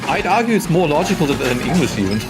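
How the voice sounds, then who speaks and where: A man's voice: a little high, big pitch swings, moderate pace. A young man whose first language is German, chatting casually with viewers while playing a game on a live stream. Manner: chatty, reads chat aloud, shrieks when hit in game. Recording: loud game music behind.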